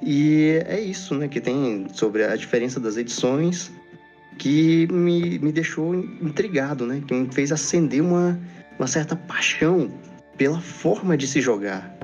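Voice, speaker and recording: A man with a Brazilian accent in casual podcast chat, speaking haltingly and repeating words as he searches. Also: fairly high pitch, a little mellow. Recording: slightly dull lo-fi audio. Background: faint music.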